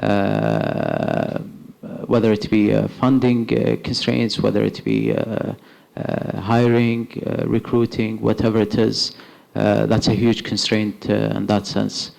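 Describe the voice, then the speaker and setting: A young adult Kuwaiti man with a Kuwaiti accent answers questions at a startup event, halting with many filler sounds. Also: on the low side, vocal fry, a little mellow. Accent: Kuwaiti English. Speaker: startup founder on stage. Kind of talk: live panel answers. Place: event hall with audience.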